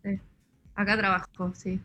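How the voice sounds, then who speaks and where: A woman's voice: medium pitch, flat delivery, unhurried, pushed and bright, faint music behind. A middle-aged woman from Rosario with an Argentine accent, chatting casually as a guest over a computer video call. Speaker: guest caller, interior designer. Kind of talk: casual chat. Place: mezzanine workspace indoors.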